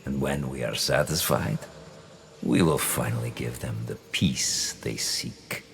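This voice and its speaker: An actor playing a suave, scheming conspirator in a video game, speaking with theatrical, deliberate menace. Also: male voice, very deep voice, vocal fry, husky, full character voice. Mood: quietly menacing. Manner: theatrical, deliberate, silky persuasion. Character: suave, scheming older male conspirator mastermind, patient and menacing. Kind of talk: video game cutscene acting.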